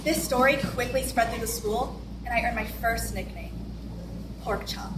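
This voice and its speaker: A woman's voice: a little high, moderate pace. A young woman performing a recited dramatic monologue on stage in a large hall. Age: teenager or young adult. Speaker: readers theater performer. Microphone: far camera, no visible mic. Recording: roomy, noisy, distant camera recording.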